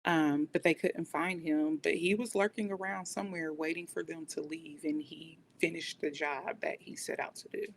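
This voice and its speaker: Low-voiced American woman, reflective. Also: steady tone, moderate pace, hardly any pauses. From United States. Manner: reflective, explanatory, often says "you know". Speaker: podcast guest, police dispatcher. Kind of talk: conversational interview storytelling. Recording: noticeable background noise, low rumble.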